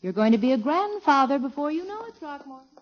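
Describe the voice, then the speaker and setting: An actress playing a calm, reassuring nurse in a 1950s radio comedy. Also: female voice, sits high, fast, slight background noise. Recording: dull, muffled old radio recording.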